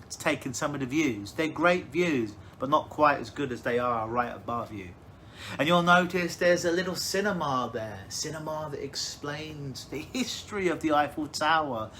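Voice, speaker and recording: A man's voice: on the high side, fairly bright tone, slight background noise. Soothing man guiding a hypnosis session. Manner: slow soothing suggestive delivery with drawn-out phrases. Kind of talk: guided hypnosis visualization.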